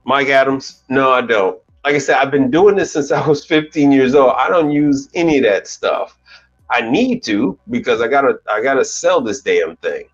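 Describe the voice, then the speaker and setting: A man in his forties talks at an easy, ordinary pitch, rambling through a casual live chat with plenty of restarts and mild curses. The sound is dull and lo-fi. Faint music plays underneath. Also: animated, moderate pace. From United States. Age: 40s. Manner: rambling, casual, swears lightly, restarts often. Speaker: commercial appliance repair technician and channel host.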